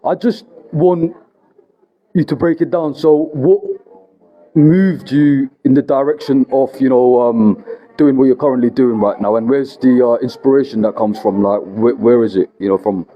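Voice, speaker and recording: A man's voice: mid-range voice, moderate pace, highs cut off. A man hosting an interview vlog, asking long rambling questions full of "you know" and "right?". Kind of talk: casual interview.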